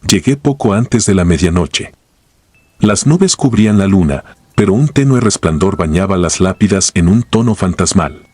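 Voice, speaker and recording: A man narrating horror stories in a grave, measured, scripted way. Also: deep voice, animated, a little mellow.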